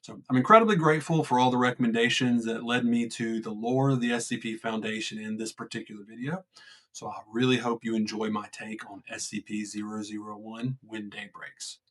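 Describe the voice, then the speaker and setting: A man's voice: lowish voice, fairly even intonation, brisk, fairly bright tone. A man speaking as the creator, giving an explanatory introduction to his own show.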